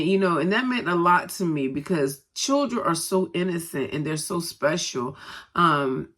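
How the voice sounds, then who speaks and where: A woman's voice: low-pitched, a bit bright. A grandmother and co-pastor with a stuffy nose from a head cold, chatting casually to her viewers with many "um"s. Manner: conversational, many "um"s, talks straight to viewers. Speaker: vlogger and co-pastor. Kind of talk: casual personal vlog talk.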